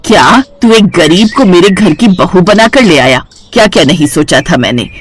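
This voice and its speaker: A woman's voice: fairly low pitch, animated, moderate pace, slight background noise, close to an everyday voice. A woman voicing a greedy, snobbish middle-aged mother-in-law in a cartoon story, with a scolding, exclaiming manner. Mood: angry scolding. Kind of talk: Hindi cartoon bedtime-story character acting.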